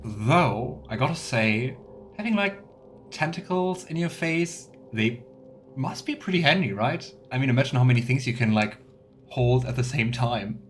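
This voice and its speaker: A man's voice: mid-range voice, lively intonation, normal speed, a little hiss or hum. Chatty man doing casual game commentary. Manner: chatty, playful, rambling asides. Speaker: let's player.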